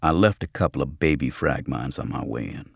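A man's voice: rumbling low, brisk, gravelly, no room sound, studio quality, pitched below the performer's own voice, full character voice. A man voicing a grim, streetwise fighter in an audiobook thriller, matter-of-fact and wry.

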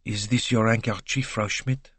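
A man voicing a calm, courteous middle-aged male detective who questions in a gentle, reassuring way in an audiobook. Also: fairly low pitch, moderate pace, husky, dry recording. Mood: probing.

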